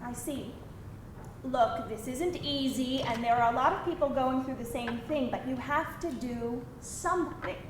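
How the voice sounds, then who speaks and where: An actress playing concerned, measured women, a neighbour and a caseworker, in a live screenplay table read. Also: female voice, sits high. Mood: firm, sympathetic. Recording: very echoey live room, faint noise.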